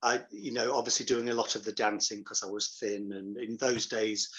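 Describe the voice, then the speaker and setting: A man's voice: lowish voice, fairly even intonation, moderate pace, fairly bright tone. A middle-aged man giving reflective, anecdotal answers as a pantomime performer in a casual interview.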